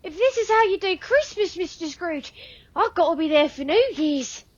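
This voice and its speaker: A woman's voice: extremely high pitch, lively intonation, normal speed, highs cut off. A woman playing a cheeky, wisecracking young boy with a plucky, theatrical manner in a radio play.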